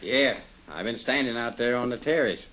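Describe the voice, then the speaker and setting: A man's voice: mid-range voice, lively intonation, normal speed, background noise, lightly acted. An actor in a 1950s radio drama playing an older small-town sheriff, folksy and plainspoken, firm but fatherly and sorrowful. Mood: firm, gentle. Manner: folksy rural grammar, plainspoken. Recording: dull 1950s radio recording.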